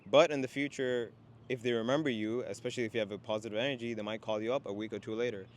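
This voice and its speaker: A man's voice: mid-range voice, very fast, slight background noise. A young man from Sri Lanka, raised in Qatar, talking casually as a student guest about finding work, with lots of "you know". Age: young adult. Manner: relaxed, many fillers like "you know". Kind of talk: casual conversation. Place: outdoors in a city, traffic nearby.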